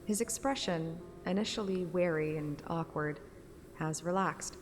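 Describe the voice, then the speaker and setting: Low-pitched woman narrator in long reverb. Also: unhurried, music in the background. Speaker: narrator reading a game story aloud. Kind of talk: audiobook narration with acted dialogue.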